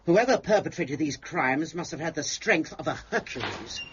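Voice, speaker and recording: A man's voice: a little high, big pitch swings, moderate pace, noisy background. An actor playing a flustered, earnest man in a period drama, speaking in a fussy, emphatic way. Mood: grave, shaken. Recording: dull, slightly muffled audio.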